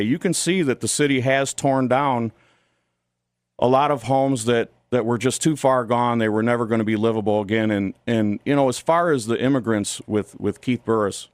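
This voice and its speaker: A man's voice: medium pitch, clean tone, dry recording. An American man from Ohio speaking as a studio guest in a political radio interview, earnest and critical. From Toledo, Ohio, United States.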